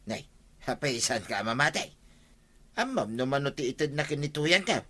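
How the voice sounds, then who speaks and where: Bright, pushy Ilocano village man. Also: mid-range voice, very animated, normal speed. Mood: insistent. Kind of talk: Ilocano radio comedy drama acting.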